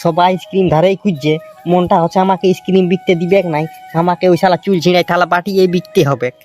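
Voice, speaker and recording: A man's voice: sits high, steady tone, fast, darkish tone, faint music behind, lightly acted. A man voicing a put-upon, complaining village ice cream seller in a comedy cartoon, with a Purulia Bengali accent. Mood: grumbling. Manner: complaining, brusque.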